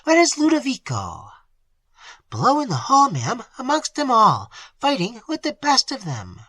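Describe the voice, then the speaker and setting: Panicky young maid, high and breathless, pleading fast, narrator doing the voice. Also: wildly swooping pitch, sharp tone, slightly rough, dry recording, heavily acted. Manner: high and urgent.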